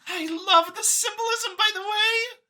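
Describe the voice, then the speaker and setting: A woman's voice: very high voice, a little slow, bright, forceful tone, pitched above the performer's own voice, exaggerated voice. A woman voicing a nervous, earnest female alien mouse scientist in an audiobook, with a flustered, eager way of talking. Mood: admiring, eager. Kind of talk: acted audiobook character.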